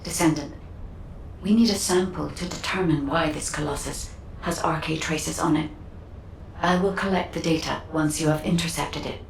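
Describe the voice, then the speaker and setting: A woman playing a composed, analytical guide in a video game, with a formal, measured, clinical delivery. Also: deep voice, steady tone, creaky, slight background noise. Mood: calm explaining. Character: composed analytical female guide, serious and precise. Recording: echoing, distant, faint music behind.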